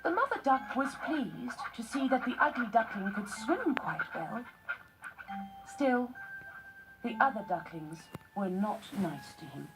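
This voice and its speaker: A woman narrating a children's story from a script in measured phrases with short pauses. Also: on the high side, big pitch swings, fairly bright tone, low-quality recording. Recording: music behind the voice. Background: music.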